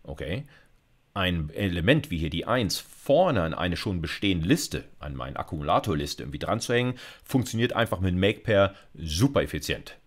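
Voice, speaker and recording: A middle-aged man lectures in a very low-pitched voice. His intonation moves around a lot as he explains things step by step. He speaks informally and directly to his listeners, checking in with short words as he goes. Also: big pitch swings, moderate pace. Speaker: university lecturer. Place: indoor recording setup, screencast lecture.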